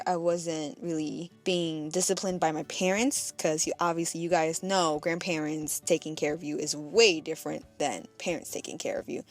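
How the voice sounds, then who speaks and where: A young American woman from the United States, narrating her childhood in a chatty voice-over and laughing at herself along the way. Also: fairly low pitch. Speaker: vlogger. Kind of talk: casual storytelling voice-over.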